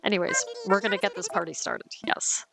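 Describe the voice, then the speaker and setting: A woman's voice: medium pitch, wildly swooping pitch, fairly quick, vocal fry, over music. A woman casually commenting on her gameplay as host, easygoing and chatty with plenty of ums, a little sniffly as she gets over a cold. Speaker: let's play host.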